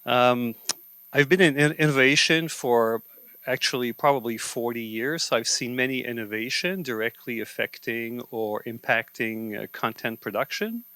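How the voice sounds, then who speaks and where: A man giving a relaxed, self-deprecating opening to a conference talk. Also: mid-range voice, moderate pace, clear, resonant tone, no room sound. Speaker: forum speaker. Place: conference hall.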